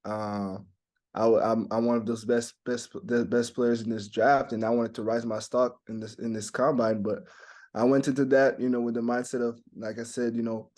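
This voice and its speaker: A young man from Montreal, a native French speaker, answering interview questions in a halting way with many fillers and restarts. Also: mid-range voice, little pitch movement, a little mellow. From Montreal, Canada. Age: young adult. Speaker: basketball draft prospect.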